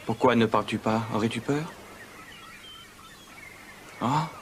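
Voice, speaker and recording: A man plays a kind young cartoon prince. He speaks at a medium pitch, gently and courteously, with sincere care, over clearly audible background noise. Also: a little slow, close to an everyday voice. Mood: gentle, curious. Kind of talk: dubbed animated fairy-tale acting.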